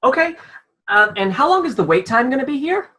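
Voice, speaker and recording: A woman's voice: high voice, sing-song, a little fast, sharp tone, exaggerated voice. A woman acts a storyteller in a comic radio play who clings to tradition. The delivery is flustered and pompous, swooping up and down in exasperation. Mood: flustered, pleading. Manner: exasperated, hesitant when pleading, pompous when commanding. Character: flustered, officious fairy tale narrator, clinging to tradition. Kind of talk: comedic radio play acting.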